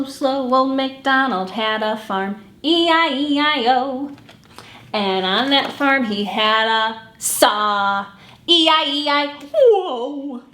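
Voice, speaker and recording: A woman hosting a children's story time, reading and singing picture books in an animated way and acting out the sounds. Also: sits high, echoey room. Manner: animated, acts out animal sounds and song refrains. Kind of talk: sung picture-book read-along for children.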